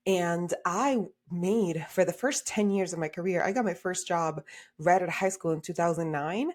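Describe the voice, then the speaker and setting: A woman in her thirties from Honduras, a career coach telling her story as a podcast guest with many "like" fillers. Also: on the low side. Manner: animated, frequent "like" fillers. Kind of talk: podcast interview conversation.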